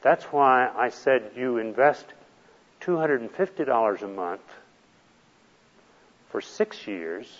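A man's voice: mid-range voice, lively intonation, slow, long pauses, long reverb tail, muffled recording. A man teaching a retirement planning class, explaining step by step in a patient, plain way. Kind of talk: classroom lecture.

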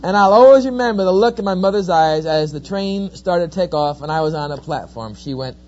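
Lively New Jersey man, dull lo-fi. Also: high-pitched, a little fast, slight background noise. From New Jersey, USA. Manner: folksy, joking, lively storyteller. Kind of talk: sermon-style storytelling talk. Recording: dull lo-fi recording.

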